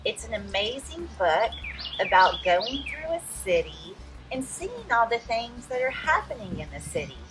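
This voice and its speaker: A woman reading a picture book aloud outdoors to young children, expressive and addressing them directly as friends. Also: on the high side, normal speed, over music, rough recording. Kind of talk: animated read-aloud storytelling for young children. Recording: some background noise, outdoor ambience.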